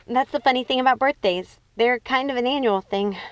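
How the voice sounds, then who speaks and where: A woman's voice: fairly high pitch, brisk, smooth, dry recording, pitched above the performer's own voice, lightly acted. A woman voicing an earnest, timid teenage princess in a storybook, hesitant and pleading. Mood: hopeful, hesitant. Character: earnest, timid eighteen-year-old princess daughter.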